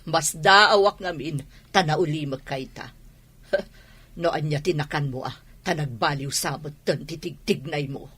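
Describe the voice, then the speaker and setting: A woman's voice: low-pitched, measured pace, fairly bright tone, breathy edge, heavily acted. A woman with an Ilocano accent playing a jealous, suspicious, nagging wife in a radio drama, scolding and sarcastic.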